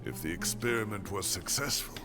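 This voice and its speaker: An actor playing an earnest, weary middle-aged professor, explaining himself in a measured, halting way as in a dramatic game. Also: male voice, lowish voice, animated. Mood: earnest, confessing. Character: earnest, weary scholar professor confessing his past. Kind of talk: dramatic scripted game voice acting. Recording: game dialogue over background music.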